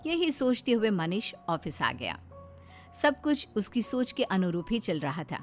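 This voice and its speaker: A woman's voice: mid-range voice, sing-song, measured pace, faint music behind. A woman giving scripted narration of a story.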